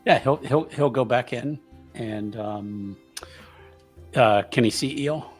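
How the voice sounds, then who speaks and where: A man's voice: medium pitch, takes it slowly, breathy edge, soft background music. A man playing a tabletop roleplaying game, casually narrating what his character does.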